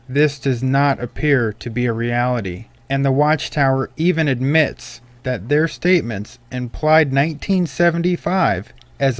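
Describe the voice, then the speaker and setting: A man reading scripted critical narration in a measured, declarative way. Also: mid-range voice, no echo.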